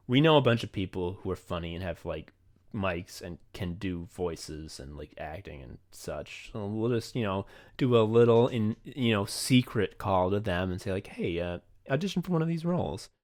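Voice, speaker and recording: A man hosting a podcast in casual chat, swearing freely and joking at his own expense. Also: on the low side. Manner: profane, joking and self-deprecating. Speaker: podcast host and comedy writer.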